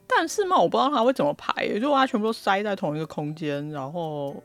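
A woman's voice: mid-range voice, animated, quick pace, soft background music. A woman with a Taiwanese accent in a casual podcast chat, speaking with wry, joking understatement. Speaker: podcast speaker on self-publishing.

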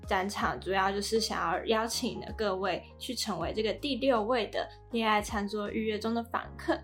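A woman with a Taiwanese accent co-hosts a podcast in a conversational presenting style. Her pitch sits in the middle of the female range. Faint music plays behind her voice. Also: steady tone, moderate pace. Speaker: podcast co-host.

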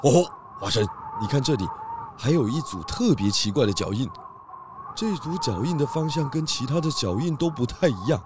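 A man's voice: mid-range voice, very animated, normal speed, noisy background, lightly acted. A man playing an inquisitive, decisive pig detective who muses and questions aloud in a children's audio drama. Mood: pondering. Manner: musing, questioning, hesitating aloud.

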